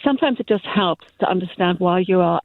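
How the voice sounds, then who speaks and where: Woman, German accent, radio caller, measured explainer, gravelly edge, phone-line sound. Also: lowish voice, no echo.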